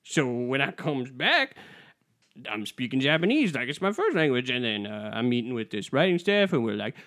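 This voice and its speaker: A man doing improvised comedy, making boastful deadpan claims in a casual, animated way. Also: medium pitch, moderate pace.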